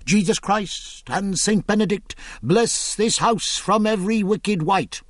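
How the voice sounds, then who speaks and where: A man's voice: high-pitched, a little slow, fairly bright tone, no room sound, studio quality, pitched above the performer's own voice. A man reading Middle English verse, voicing a fretful, pious old male carpenter with frantic, pleading cries.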